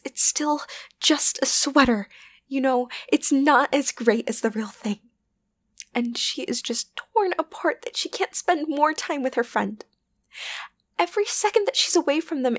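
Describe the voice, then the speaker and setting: A woman voicing an obsessive, lovesick young yandere best friend in an ASMR roleplay, halting and intimate, often slipping into a whisper. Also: sits high, no echo. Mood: defensive, lovesick. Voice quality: breathy, often dropping to a whisper. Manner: halting, intimate, wavering between tender and agitated. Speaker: voice actor.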